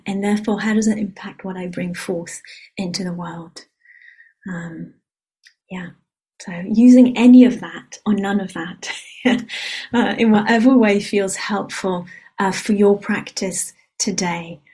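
Soft breathy woman leading meditation. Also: medium pitch. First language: Hebrew. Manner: soft, unhurried, often adds a questioning "yeah?". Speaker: meditation teacher. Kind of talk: guided dharma reflection. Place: meditation retreat hall.

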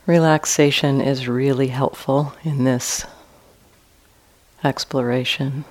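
A woman teaching a guided meditation in a calm, gentle manner with long pauses between phrases. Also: very deep voice.

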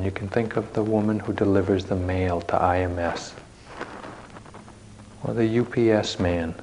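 Slow, low-voiced man guiding meditation. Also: darkish tone, slight background noise, poor audio. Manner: measured, halting delivery with long pauses between short phrases. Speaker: meditation teacher. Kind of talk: guided meditation. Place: meditation retreat center, live session.

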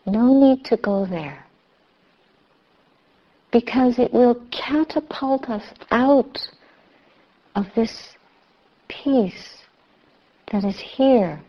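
Female meditation teacher, slow and measured, soothing guidance, slightly lo-fi sound. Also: a little high. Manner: measured, soothing, with long pauses. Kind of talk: guided meditation instruction. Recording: slightly dull, muffled recording.

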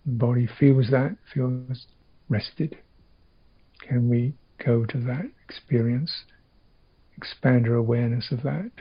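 A man with a husky, creaky voice leads a guided meditation. He speaks as a teacher in halting, thoughtful phrases, leaving long gaps between them. The recording is slightly dull. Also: mid-range voice, fairly even intonation. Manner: halting, reflective, short phrases with long pauses.

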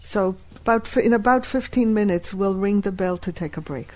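A woman whose first language is Hebrew, teaching a live workshop and deliberately repeating key phrases. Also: medium pitch, darkish tone, rough recording.

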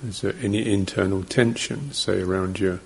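A man teaching guided meditation, speaking in short, gentle fragments with long pauses between them. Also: low-pitched, fairly even intonation, creaky, a little hiss or hum.

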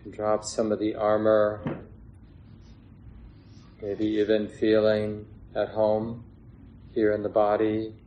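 A man leading a guided meditation as a teacher, speaking gently in short phrases with long pauses between them. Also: on the low side, monotone, muffled recording. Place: meditation hall with a gathered group. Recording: clear background noise, room ambience.

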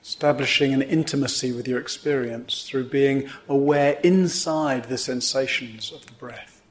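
A man teaching meditation, guiding a sitting in gentle, measured phrases with pauses between them. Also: medium pitch. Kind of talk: guided meditation instruction.

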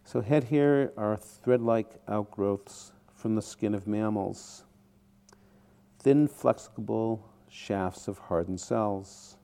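A man teaching a guided meditation in short, deliberate phrases with pauses between them. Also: on the low side, creaky, mellow.

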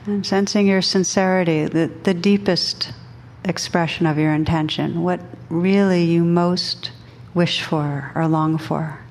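A woman meditation teacher leading a guided meditation for a group, speaking gently and unhurriedly with long pauses between phrases. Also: fairly low pitch, fairly even intonation.

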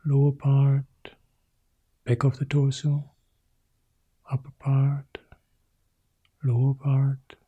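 A male monk guiding a meditation in soft, short phrases that often sink into a whisper. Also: medium pitch, monotone, slow pace, pauses often, breathy edge. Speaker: Buddhist monk leading meditation. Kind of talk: guided meditation.

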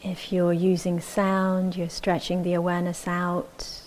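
A woman teaching meditation, giving gentle, measured instructions with long pauses between short phrases. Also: fairly low pitch, completely flat delivery.

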